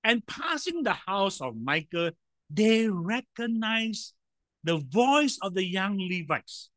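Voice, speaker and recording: A man preaching a sermon in a loud voice, emphatic, with sudden swings in volume and frequent rhetorical questions. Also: high voice, very animated, slow pace, dry recording.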